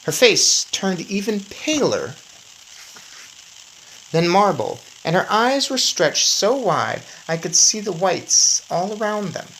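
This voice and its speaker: A woman reading a children's story aloud in an expressive narrating style. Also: mid-range voice, takes it slowly, a little hiss or hum, poor audio. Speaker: story reader.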